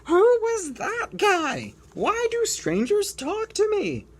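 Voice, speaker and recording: A woman's voice: extremely high pitch, huge pitch swings, slow pace, a little hiss or hum, pitched above the performer's own voice, exaggerated voice. A woman voicing a grumpy, whiny young penguin in a picture book read-aloud. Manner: complaining, whiny.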